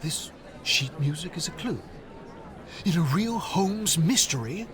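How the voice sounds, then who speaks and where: An actor playing an eager, friendly teacher in an audio drama, who talks in an excitable way. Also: male voice, fairly high pitch, a little slow, crowd noise. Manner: excitable, lively. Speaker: teacher who likes opera.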